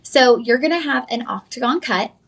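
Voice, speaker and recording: Young woman, shopping host, bubbly sales patter, slightly high voice. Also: expressive, moderate pace, gravelly, breathy edge. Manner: chatty, effusive, addresses viewers as y'all. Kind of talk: live-stream sales pitch.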